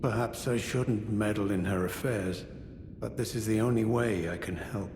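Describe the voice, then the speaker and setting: An actor playing an aged, courteous and weary former knight in a video game, speaking in formal, archaic, measured phrases with sorrowful gravity. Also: male voice, fairly low pitch, close to an everyday voice. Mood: gently rueful. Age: older. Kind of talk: scripted video game character dialogue. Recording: echoey hall reverb with noisy game ambience.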